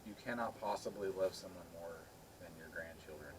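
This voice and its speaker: A man's voice: deep voice, a little fast. A middle-aged man talking in a casual, chatty way. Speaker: video co-host. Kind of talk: casual chat. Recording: noisy home recording.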